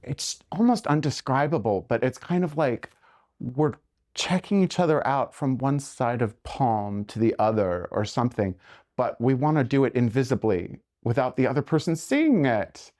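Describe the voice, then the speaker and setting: A man's voice: medium pitch, moderate pace. Man in his forties, a podcast guest, reflective and well-spoken, mid-range voice. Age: 40s. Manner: articulate and reflective, with sudden lively rises. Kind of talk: casual chat.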